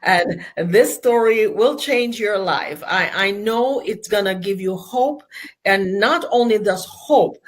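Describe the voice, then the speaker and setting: A woman hosting a faith-based interview, enthusiastic and encouraging toward her guest. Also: medium pitch. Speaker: host.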